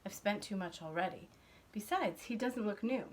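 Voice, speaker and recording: A woman voicing a weary mother in a storybook, sighing and gently reasoning. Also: medium pitch, expressive, moderate pace, lightly acted. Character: weary mother gently refusing her child.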